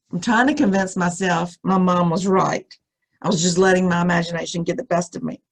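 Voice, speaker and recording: A middle-aged American woman with a husky voice reads a scary first-person story aloud, expressively and with suspenseful pauses. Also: mid-range voice.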